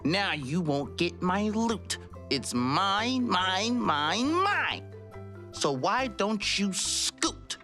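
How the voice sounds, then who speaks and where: A man's voice: high voice, sing-song, drawn-out words, faint music behind, pitched above the performer's own voice, heavily acted. A man voicing a greedy, selfish, grumbling male pug dog in a children's storybook. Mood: gloating. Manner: loud, grumbling, defiant shouting. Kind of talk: children's storybook read-aloud.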